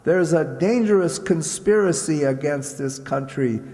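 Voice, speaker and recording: A man's voice: fairly high pitch, unhurried, warm tone, lightly acted. An actor playing a brash, sardonic man on the run in a radio play, with a wry, bossy manner. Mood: dry, insistent. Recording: echoey hall, noisy background.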